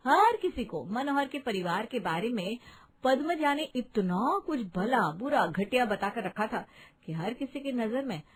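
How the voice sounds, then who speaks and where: A female narrator with a high voice. She reads a scripted moral story, setting out the characters and scenes for the listener. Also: a little hiss or hum, poor audio.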